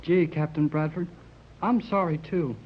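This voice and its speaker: An American man acting an eager, polite, plucky boy aboard ship in a 1930s radio serial, earnest and excitable. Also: fairly high pitch, a little slow, lightly acted. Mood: sympathetic. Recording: muffled, noisy 1930s radio recording.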